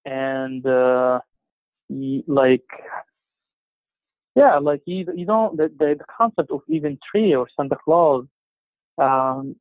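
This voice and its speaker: Egyptian guy on a phone line, halting, lots of ums, dry and tinny. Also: medium pitch, no room sound. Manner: halting, many fillers and restarts. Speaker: interview guest. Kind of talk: casual conversation.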